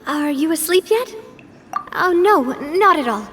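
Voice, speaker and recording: A confident, playful young woman who teases her friends and laughs freely. Her voice sits high for a woman and sounds friendly. A game scene with a clear music score behind her. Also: animated, unhurried. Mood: gentle. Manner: teasing, warm-hearted, laughs often. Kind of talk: game voice acting.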